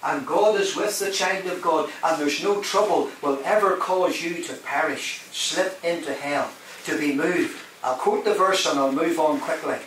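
A man's voice: fairly high pitch, a little slow, a bit bright. A man preaching a sermon in a large hall, emphatic, rising and dropping his voice and questioning the congregation. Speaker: guest preacher. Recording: very echoey hall, mic at a distance, faint hiss.

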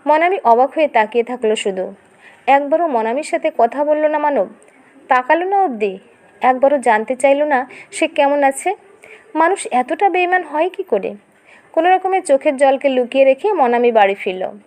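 A woman reading a scripted dramatic story as narrator, in an expressive, emotive storytelling manner. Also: high voice, moderate pace.

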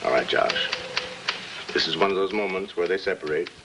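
A man's voice: deep voice, moderate pace, background noise, natural voice. An actor playing a genial, self-assured middle-aged American suburban father with jovial, theatrical pronouncements in a 1950s film style. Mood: pompous, commanding. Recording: 1950s film soundtrack with music behind.

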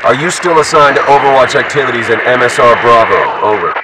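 A man playing a steady soldier who reports in terse, matter-of-fact military chatter through a radio filter. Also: medium pitch, a little fast. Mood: businesslike. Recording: noisy, distant military radio transmission.

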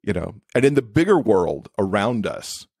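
A man speaks as an interview guest in a fairly low voice with lively, varied intonation. He explains his subject conversationally, using everyday examples, and the recording is close and dry. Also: normal speed, slightly rough, no room sound. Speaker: podcast guest, accessibility expert and author.

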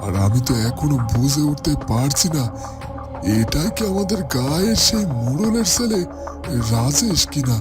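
A man playing an anxious, cautious village father in a fairytale cartoon, speaking politely and haltingly. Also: a little high, sing-song. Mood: worried musing. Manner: halting, polite, stammering when probing. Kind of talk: Bengali fairytale cartoon dubbing. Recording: music behind the voice.

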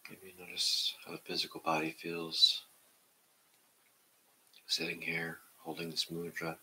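Low-pitched husky man guiding meditation. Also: low-quality recording. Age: middle-aged. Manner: calm, measured. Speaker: meditation guide. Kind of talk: gentle guided meditation and reading aloud. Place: indoor room at home, seated on the floor.

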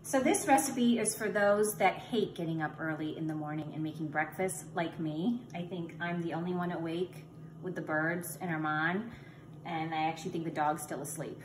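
A woman's voice: deep voice, normal speed. A middle-aged woman casually demonstrating a recipe in her home kitchen, chatty and joking at her own expense. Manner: chatty, joking, self-teasing. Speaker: home cook vlogger. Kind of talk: casual cooking demo. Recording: noisy kitchen with sizzling pan.

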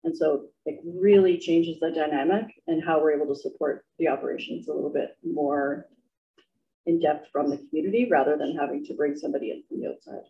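A man speaking as a company representative at a formal meeting, explaining in a measured, halting way. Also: on the high side. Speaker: utility company representative presenting to council. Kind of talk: formal presentation and answers at a council meeting. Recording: dull audio with noticeable background noise.

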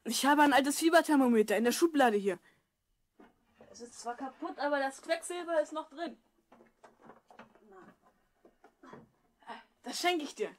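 Actor doing an eager young boy, highish voice, curious, kids audio drama. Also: brisk, lightly acted. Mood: friendly, generous. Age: child figure, twelve. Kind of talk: German children's audio drama acting.

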